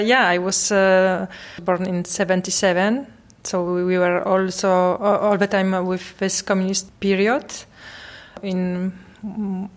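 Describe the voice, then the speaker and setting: A woman in her forties from Poland, with a Polish accent, answering interview questions in a hesitant, halting way and searching for English words. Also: medium pitch. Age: 40s. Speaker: artist guest.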